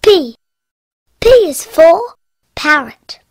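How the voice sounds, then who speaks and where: A cheerful little cartoon piglet girl, with a very high, bouncy voice that leaps all over. She recites letters slowly and carefully in a giggly sing-song over soft music. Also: big pitch swings, no room sound, studio quality, full character voice. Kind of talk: cartoon alphabet lesson.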